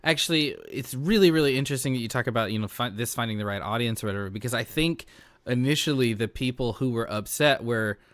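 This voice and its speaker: A man's voice: medium pitch, fast talker, a bit bright, no room sound. A man co-hosting a podcast, talking candidly and informally in casual discussion. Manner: candid, informal, freely sweary. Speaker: podcast co-host.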